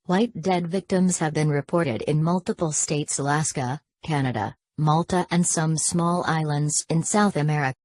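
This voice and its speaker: Low female alert announcer woman acting it, formal n stilted, synthetic sounding, very dry sound. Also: moderate pace, few pauses, a little mellow, no room sound. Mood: formal, grave. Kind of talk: scripted emergency broadcast narration.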